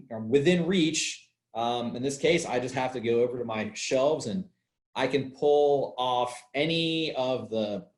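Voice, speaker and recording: A man talks as an archaeologist in an informal, explanatory style. His pitch sits in the middle for a male voice, and he repeats points for emphasis. The sound is roomy, with clear echo around the voice. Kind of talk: informal explanatory talk about fieldwork.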